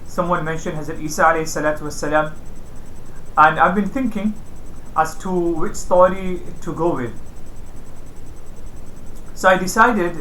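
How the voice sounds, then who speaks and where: Male storyteller, religious teacher, explanatory and repetitive, bright forceful tone, roomy echo. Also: a little high, steady tone, moderate pace, pauses often. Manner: explanatory, repeats phrases for emphasis. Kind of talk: informal religious storytelling lesson. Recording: roomy echo, faint background noise.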